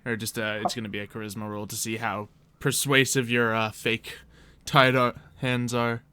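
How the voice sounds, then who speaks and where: A man runs a tabletop fantasy game as game master. His voice is bright and clean, of middling pitch, and he narrates conversationally, feeling his way through scenes. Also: little pitch movement, normal speed, smooth, no room sound.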